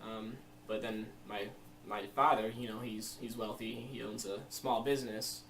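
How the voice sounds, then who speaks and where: A young American man with a lowish voice talks through his opinions informally. He rambles and hesitates, thinking as he goes. He sounds set back from the microphone, with faint noise around him. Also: steady tone, normal speed, a bit bright. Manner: rambling, hesitant, reflective, peppered with "you know". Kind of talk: informal interview answers. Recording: distant mic, faint room noise.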